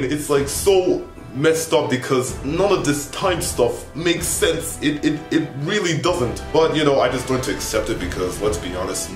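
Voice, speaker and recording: Young man chatting in an echoey room. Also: medium pitch. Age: young adult. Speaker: vlogger reviewing a film. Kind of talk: casual chat to camera. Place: small indoor bedroom with bunk beds. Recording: echoey room, music behind. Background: music.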